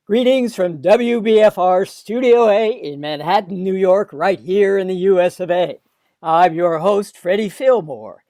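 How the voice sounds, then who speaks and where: Man playing a high-voiced showy radio host. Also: a little mellow, no room sound, clean studio sound. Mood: showy welcome. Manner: showy, formal announcer delivery. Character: genial male radio playhouse host introducing stories. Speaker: radio show announcer. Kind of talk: 1940s radio announcing. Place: video call in a dry room.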